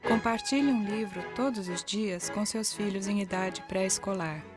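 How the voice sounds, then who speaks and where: A woman's voice: medium pitch, normal speed, loud music behind the voice. A woman with a Brazilian accent reading a scripted narration for an educational video.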